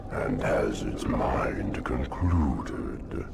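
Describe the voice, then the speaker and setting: A man voicing an ancient, monstrous alien hive mind in a video game, speaking in slow, grandiose riddles with a gravelly growl. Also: very deep voice, animated, very noisy, heavily acted. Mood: ominous, brooding. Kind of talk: video game cutscene acting. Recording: loud music behind the voice.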